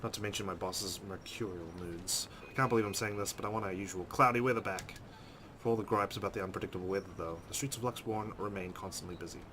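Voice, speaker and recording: Casting: male let's play host, lowish voice, dramatic reader with casual asides, noticeably noisy recording. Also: fast talker. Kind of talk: dramatic read-aloud with casual commentary.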